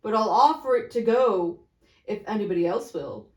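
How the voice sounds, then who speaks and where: A woman voicing a big, callous, flippant gentleman of business in a storybook, breezy and emphatic. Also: medium pitch, expressive, normal speed, lightly acted. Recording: echoey, roomy sound.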